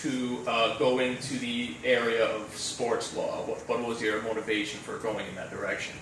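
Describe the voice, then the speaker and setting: A man's voice: medium pitch, flat delivery, moderate pace. A man interviewing an attorney, asking friendly questions with frequent um and uh. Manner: friendly, hesitant, many fillers. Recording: roomy, distant mic with faint noise.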